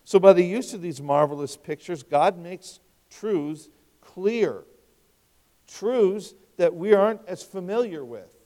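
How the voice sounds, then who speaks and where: A man's voice: high-pitched, animated, slow. A man in his fifties preaching an expository sermon, talking conversationally and asking his listeners rhetorical questions. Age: 50s. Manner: conversational, rhetorical questions, wide swings in loudness. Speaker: pastor. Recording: long hall reverb.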